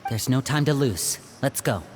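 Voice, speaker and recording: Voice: mid-range voice, expressive, moderate pace, slight background noise, natural voice. A voice actor playing a charming young stage magician who talks in a playful, theatrical way. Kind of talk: game voice acting.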